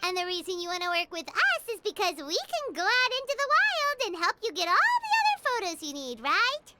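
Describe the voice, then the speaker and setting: A woman voicing a tiny, childlike female fairy companion in a video game, squeaky, cute and outspoken. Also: very animated, brisk, very noisy. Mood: knowing, sassy. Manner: squeaky, indignant, speaks of herself in third person. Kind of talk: scripted video game voice acting.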